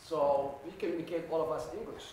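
A man's voice: fairly high pitch, fairly even intonation, fast, a little mellow, slight background noise, rough recording. Middle-aged man, earnest panel storyteller, lapel mic on stage. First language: Somali. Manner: earnest storyteller, repeats words as he builds phrases. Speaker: panelist, community worker and radio host. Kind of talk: panel talk, personal anecdotes. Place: auditorium stage.

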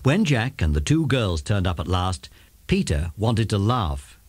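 Animated English man narrating, slightly husky. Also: fairly low pitch, measured pace, no echo. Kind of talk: scripted children's story narration.

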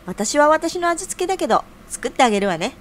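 A woman's voice: high voice, very animated, a little fast. An actress playing a caring young woman in an audio drama, with a cheerful, affectionate, feminine way of talking. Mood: pleased, playful. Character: caring, eager young woman offering to cook for her man.

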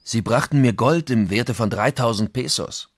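A man's voice: mid-range voice, normal speed, no room sound, clean studio sound, lightly acted. A man reading the part of a Spanish conquistador giving his account of what he witnessed. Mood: reporting, composed. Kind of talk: dramatic reading of historical accounts.

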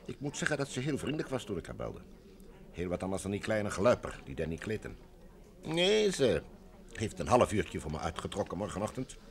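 Vintage radio play: chatty, eager film man, low-pitched, expressive intonation. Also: fairly quick, exaggerated voice.

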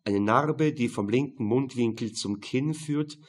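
A man reads a crime novel as an audiobook narrator. His voice is mid-pitched with a rough, husky edge. The delivery is scripted, dry and matter-of-fact. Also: measured pace.

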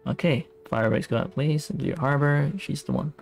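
A man giving relaxed, casual livestream commentary over a game, reading and reacting aloud. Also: fairly high pitch, measured pace, creaky. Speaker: game streamer. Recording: faint game music behind. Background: soft game music.

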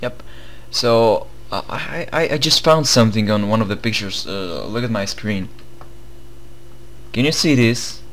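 A young man with a Bulgarian accent co-hosting a casual gaming news commentary, chatty and halting with many fillers. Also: on the low side, expressive, a bit bright, a little hiss or hum. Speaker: gaming channel co-host.

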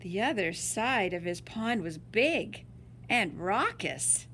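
A woman's voice: mid-range voice, lively intonation, slow, fairly bright tone, a little hiss or hum. A woman reading a children's picture book aloud like a teacher, drawing out words for dramatic emphasis. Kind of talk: expressive storybook read-aloud.

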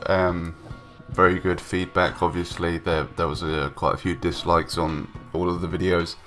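A man's voice: low voice, little pitch movement, moderate pace, fairly bright tone, soft background music. A young man with a stuffy nose from a cold, giving casual, rambling voice-over commentary with many ums and restarts. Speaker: gaming YouTuber. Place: home room, recorded separately from gameplay.

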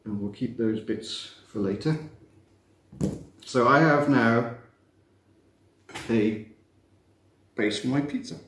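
A man, teaching a relaxed cooking demonstration in a chatty, self-mocking way with playful asides. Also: medium pitch, slow, long pauses. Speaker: cooking instructor. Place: glass-walled kitchen room facing a garden. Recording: roomy echo, faint background noise.

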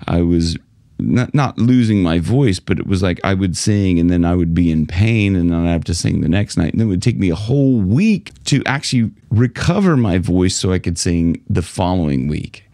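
A middle-aged man coaching singers straight to camera, animated and gesturing, with plenty of fillers, in a small home studio. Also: low-pitched, moderate pace, no room sound. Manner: animated, gestures with both hands, many fillers. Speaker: vocal coach. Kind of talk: direct-to-camera coaching talk. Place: small home studio room with guitars on the wall. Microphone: large studio mic in front of him.

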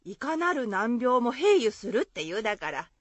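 An actress playing a friendly, chatty rustic innkeeper in a radio drama, speaking folksy dialect. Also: female voice, fairly high pitch, sing-song, moderate pace, no room sound. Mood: friendly, encouraging.

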